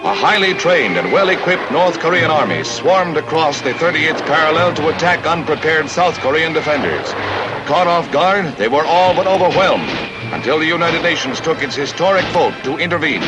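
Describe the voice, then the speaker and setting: A man's voice: a little high, dull audio. An American man narrating a scripted 1950 newsreel in a dramatic, grave, declamatory manner. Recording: vintage 1950 newsreel soundtrack with music.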